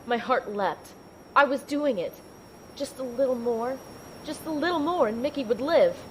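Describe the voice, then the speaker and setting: A woman playing a determined young heroine who narrates her own adventure in an audio drama, in a measured, earnest way. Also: high voice, animated, background noise. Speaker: narrator and lead character. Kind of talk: scripted first-person audio drama narration.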